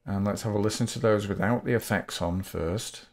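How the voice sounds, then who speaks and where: Lower-pitched man giving a software tutorial. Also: moderate pace. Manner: methodical, explanatory. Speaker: tutorial host, game developer. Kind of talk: unscripted step-by-step software tutorial.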